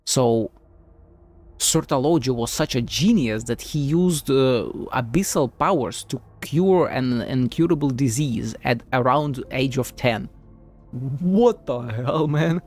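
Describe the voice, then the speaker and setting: A man's voice: medium pitch, expressive, slow, darkish tone, faint music behind. Sweary male game streamer thinking aloud. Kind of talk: casual live reaction commentary.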